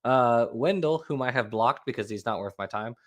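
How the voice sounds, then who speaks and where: A man's voice: medium pitch, moderate pace. Wry Southern-accented man hosting. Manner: wry, sarcastic, laughs often. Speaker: host. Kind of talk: casual panel chat reading posts.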